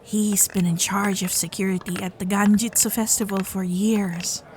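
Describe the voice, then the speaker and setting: A woman's voice: medium pitch, steady tone, moderate pace, darkish tone, a little hiss or hum, natural voice. A woman voicing a sweet, flustered young fox-spirit girlfriend in an ASMR roleplay, with a nervous, stammering, caring manner. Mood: amused, explaining.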